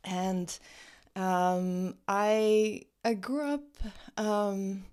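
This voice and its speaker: A woman in her late thirties from Serbia with a Serbian accent, a guest chatting in an interview, cheerful and quick to laugh. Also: mid-range voice, little pitch movement, darkish tone, clean tone. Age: 30s. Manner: cheerful, laughs often, restarts words. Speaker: guest, social psychology professor. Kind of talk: casual interview chat.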